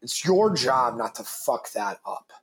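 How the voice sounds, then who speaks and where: Deep-voiced man, emphatic and profane. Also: sing-song, unhurried, a bit bright, a little hiss or hum. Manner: profane, emphatic, repeats words when starting thoughts. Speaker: guest, business founder and father. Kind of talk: casual conversational interview.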